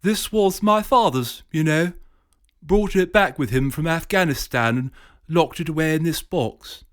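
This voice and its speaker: A man's voice: on the high side, measured pace, voice pushed up, close to an everyday voice. A man voicing a genial, scheming British professor with a jovial, clipped upper-class manner.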